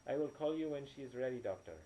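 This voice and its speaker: A man's voice: mid-range voice, lively intonation, fast talker, highs cut off, voice pushed up, natural voice. A man reading a story, voicing a practical, no-nonsense village midwife of sixty, firm and plain-spoken. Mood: impatient. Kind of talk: audiobook character dialogue. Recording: noisy, poor-quality home recording.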